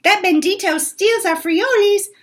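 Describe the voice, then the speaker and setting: A woman voicing the smallest male chihuahua of a gang, cheeky and slangy with a high squeaky delivery. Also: unhurried. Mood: sassy.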